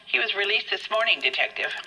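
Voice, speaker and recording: An actress playing a courteous, efficient police telephone operator in a video game, heard through a phone filter. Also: female voice, medium pitch, lively intonation, quick pace, pushed and bright, a little hiss or hum, rough recording. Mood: polite, brisk. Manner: courteous, businesslike. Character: polite, efficient female police switchboard operator.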